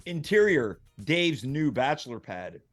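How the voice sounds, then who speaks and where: A man's voice: mid-range voice, sing-song, measured pace, faint music behind. A man reading stage directions as narrator in a scripted comedy table read.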